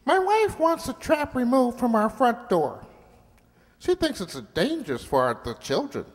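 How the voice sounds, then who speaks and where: A man's voice: very high-pitched, very animated, measured pace, exaggerated voice. A man voicing a small talking mouse, a naive, folksy family man in a radio play. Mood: earnest. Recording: echoey hall with long reverb.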